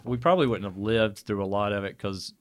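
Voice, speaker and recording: A man's voice: lowish voice, fairly quick. A man with a Southern American accent, a band musician telling tour stories in a blunt, sweary, casual way. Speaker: touring band musician being interviewed. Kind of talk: casual chat, storytelling.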